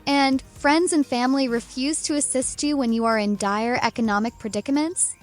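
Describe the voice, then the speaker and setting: A woman's voice: high voice, fairly even intonation, moderate pace, faint music behind, lightly acted. A woman playing a naive, curious young stranger from a hidden world, formal and stilted, in a dramatized comic. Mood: puzzled.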